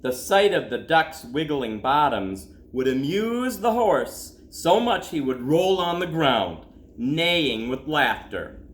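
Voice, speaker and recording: A man, a school principal, reading a children's story aloud expressively, with dramatic pauses and drawn-out words. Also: a little high, very animated, slight background noise. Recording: roomy echo.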